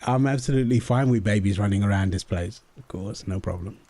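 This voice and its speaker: A man's voice: fairly low pitch, brisk, warm tone, dry recording. A man in an intimate comfort roleplay as a husband and father, speaking tenderly and soothingly.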